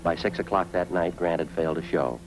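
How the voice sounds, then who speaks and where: Actor as a deep-voiced, clipped police sergeant. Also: male voice, fairly even intonation, brisk, background noise, close to an everyday voice. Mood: matter-of-fact. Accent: American. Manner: clipped, matter-of-fact. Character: terse, businesslike police sergeant narrating his case. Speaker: lead actor and narrator. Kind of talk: 1940s-50s radio drama, clipped procedural narration. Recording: old radio recording with music behind.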